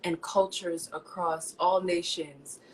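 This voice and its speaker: New woman guest, expressive and spiritual, lowish voice, video call. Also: takes it slowly, a little hiss or hum, low-quality recording. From New York, United States. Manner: spiritual, expressive, talks in lofty phrases. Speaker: guest, chef and seasoning maker. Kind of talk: interview answers.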